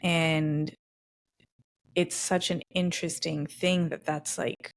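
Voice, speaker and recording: A woman's voice: low-pitched, little pitch movement, slow. A woman hosting a podcast interview, reflecting aloud and thinking through ideas with frequent restarts. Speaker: podcast host. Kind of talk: conversational interview.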